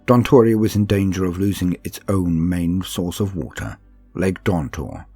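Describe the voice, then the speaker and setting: Male narrator, low and mellow, calm sleep-aid style book reading, steady and soothing. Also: breathy edge, dry recording. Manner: measured, soothing, unhurried storytelling.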